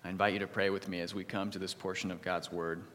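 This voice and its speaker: A pastor reads a psalm in a measured, solemn voice, then prays and preaches a sermon to his congregation. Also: low voice, little pitch movement, a bit bright, in a large hall. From United States. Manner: measured, solemn reading, livelier when telling stories. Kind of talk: sermon with scripture reading and prayer.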